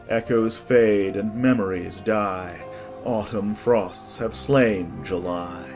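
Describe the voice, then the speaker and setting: A man's voice: fairly low pitch, darkish tone. A man reading a classic storybook as an audiobook narrator, in a scripted storytelling style.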